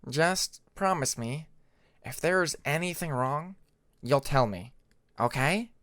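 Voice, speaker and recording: A man's voice: fairly high pitch, animated, slow pace, a bit bright, dry recording, studio quality. A man voicing a confident, caring young dragon prince in an audiobook, firm and earnest. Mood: stern concern.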